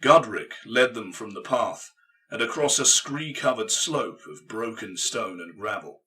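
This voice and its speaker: Male narrator for grim fiction: low, hard-edged voice, measured and emphatic, recorded well off the mic. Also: animated, sharp tone, far from the mic. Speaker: audiobook narrator. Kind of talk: scripted dramatic narration.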